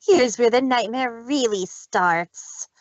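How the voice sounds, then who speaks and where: A woman's voice: fairly high pitch, slow, dry recording, lo-fi sound. A woman voicing a deranged, menacing villainous mare who refuses to lose, in a fan fiction dramatic reading. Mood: deranged defiance. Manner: menacing, defiant, unhinged.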